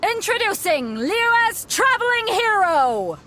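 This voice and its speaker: A theatrical high-voiced tournament announcer. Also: female voice, big pitch swings, slow, very noisy, exaggerated voice. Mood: grand announcing. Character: brisk young woman tournament announcer, theatrical and easily exasperated. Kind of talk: video game voice acting.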